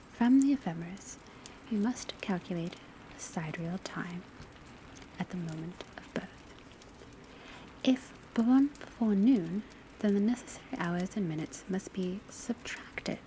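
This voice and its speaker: A woman playing a witch academy professor, lecturing in a soft, breathy ASMR voice, often whispered, in a measured and formal way. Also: medium pitch. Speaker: teacher. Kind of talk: ASMR classroom roleplay lecture. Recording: steady rain and crackling fire noise. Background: rain on rooftop, fireplace, pencil writing.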